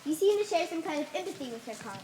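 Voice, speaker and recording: Stern, commanding cult high priestess played by a woman, high pitch, formal and solemn stage delivery. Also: animated, very fast, warm tone, a little hiss or hum. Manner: formal, authoritative, solemn declamation. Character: stern, commanding cult leader of blue people, addressed as Holy One. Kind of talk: stage play acting. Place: theatre stage. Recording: live stage recording.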